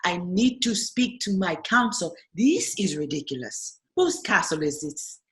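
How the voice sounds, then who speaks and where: A woman's voice: lowish voice, sing-song, unhurried. A woman playing an imperious, indignant fairy-tale prisoner who speaks formally and insistently in a radio play. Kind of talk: irreverent radio play acting.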